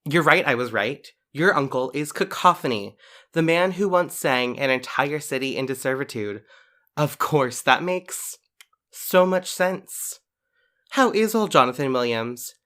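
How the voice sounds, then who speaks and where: Young superhero, audio drama, very deep female voice, smug, sarcastic, accusing, confident. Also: expressive, a little slow, a bit bright, full character voice.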